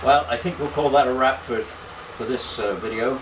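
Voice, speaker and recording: A man giving a casual, unscripted hobby vlog narration in a halting way, often repeating words. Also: mid-range voice, noisy background.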